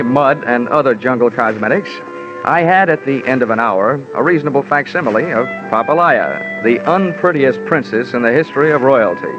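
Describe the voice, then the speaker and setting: An actor playing a wry, slangy, hardboiled insurance investigator who also narrates an old-time radio drama. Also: male voice, medium pitch, natural voice. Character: wry, tough American insurance investigator hero. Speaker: lead and narrator. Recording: muffled old radio recording.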